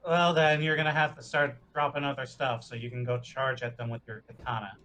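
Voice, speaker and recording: A man's voice: mid-range voice, flat delivery, fairly quick, sharp tone. A man talking casually as a player at a tabletop game. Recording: faint background noise.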